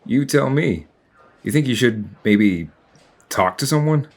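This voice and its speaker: An actor playing a calm, helpful, concerned man in an audio drama. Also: medium pitch, a little slow. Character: concerned adult male bystander, calm and helpful.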